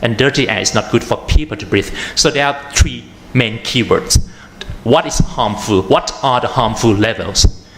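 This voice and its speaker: A man with a Thai accent, an atmospheric chemist giving a conference talk, halting with many fillers and restarts. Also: mid-range voice. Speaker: panel speaker, atmospheric chemist. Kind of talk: conference presentation. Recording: echoey room with faint noise.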